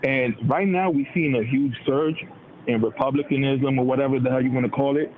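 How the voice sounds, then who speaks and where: A man's voice: medium pitch, steady tone, a little fast. A man of Dominican background talking casually and animatedly about politics, in slang, often putting on voices for the people he quotes. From Dominican heritage. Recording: tinny phone-like line with noise.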